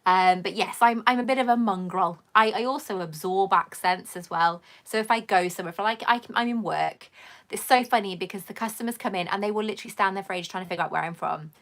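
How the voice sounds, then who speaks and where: A woman's voice: medium pitch, fast, fairly bright tone. A middle-aged woman with a mixed southern English and Welsh accent, chatting on a live Q&A stream and reading viewer comments aloud. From England, raised in Wales. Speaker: host.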